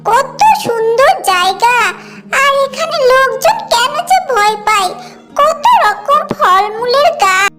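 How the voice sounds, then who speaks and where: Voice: very high voice, expressive, takes it slowly, bright, forceful tone, exaggerated voice. A performer voicing a story-loving, stubborn and curious little girl in a cartoon fairy tale, with a childish, pleading manner. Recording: background music.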